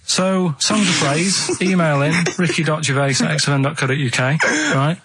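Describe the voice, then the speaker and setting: A man's voice: fairly high pitch, expressive, normal speed, very noisy. An English man chatting casually on a radio show as its quiz man, blunt and matter-of-fact with hesitant phrasing. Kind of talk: casual unscripted radio chat.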